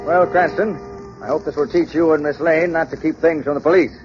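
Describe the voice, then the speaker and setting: Actor as smug, blustering police commissioner, highish voice. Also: male voice, fairly even intonation, a little fast, music in the background, natural voice. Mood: scolding. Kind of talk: 1930s radio drama acting. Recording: dull, hissy 1930s radio recording.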